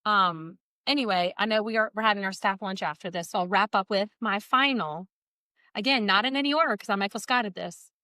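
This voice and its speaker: Sex: woman. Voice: nasal, mid-pitched. Role: podcast co-host. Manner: chatty, self-mocking. Sound: dry, close. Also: fairly quick, sharp tone, dry recording. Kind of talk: casual podcast chat.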